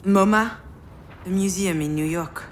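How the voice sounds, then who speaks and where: An actress playing a bitter, overwhelmed daughter in a visual novel, with a sardonic, dramatic delivery. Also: female voice, on the low side, unhurried, a little hiss or hum, lightly acted. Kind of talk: visual novel voice acting with inner monologue.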